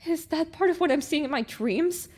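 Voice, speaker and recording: A woman voicing a young, easily flustered mare heroine with an incredulous, expressive, questioning manner. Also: sits high, normal speed, natural voice. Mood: bewildered.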